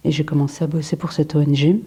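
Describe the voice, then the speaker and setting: A woman in her forties from France talks about her life and work in an unhurried interview. Her voice sits slightly low and sounds warm and mellow. She hesitates often, restarting phrases and filling gaps as she thinks aloud. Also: little pitch movement, slightly rough. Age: 40s. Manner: hesitant, many fillers and restarts. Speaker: photographer, interview guest. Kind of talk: reflective interview answers.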